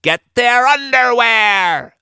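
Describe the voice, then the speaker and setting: A man's voice: very high voice, expressive, slow pace, gravelly, bright, forceful tone, clear, resonant tone, dry recording, clean studio sound, voice pushed up, heavily acted. A man voicing a bossy, gleeful female pirate captain, an older sister, for a storybook. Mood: bossy, taunting. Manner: taunting, commanding storybook villain delivery.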